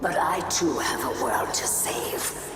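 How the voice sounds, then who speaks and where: A solemn otherworldly queen from a video game, low-pitched and very slow. She speaks with regal, cold menace in a breathy half-whisper that trails into a long echoing reverb, with loud music behind. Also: lively intonation, exaggerated voice. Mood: cold, resolute. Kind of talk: scripted video game cutscene acting.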